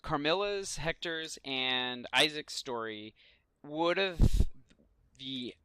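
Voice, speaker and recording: A man with a bright, crisp voice at a middle pitch chats as a podcast co-host. The sound is close and dry, and his delivery is conversational. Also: lively intonation, drawn-out words, dry recording. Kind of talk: casual pop culture discussion.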